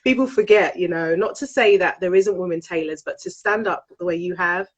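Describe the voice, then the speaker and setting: A woman's voice: on the low side, brisk. A British woman with a nasal, congested voice from a cold, hosting a live online chat show in an effusive way, often repeating her phrases. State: has a cold. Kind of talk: casual live chat interview. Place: live video call.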